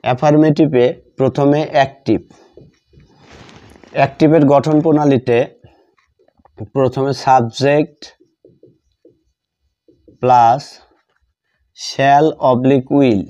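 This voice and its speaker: A male teacher whose first language is Bengali explains a lesson step by step. His voice is mellow and medium-pitched, and he goes slowly, repeating terms as if writing them out. The recording sounds slightly dull and lo-fi. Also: fairly even intonation. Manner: deliberate, repetitive, dictating term by term. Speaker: English grammar teacher.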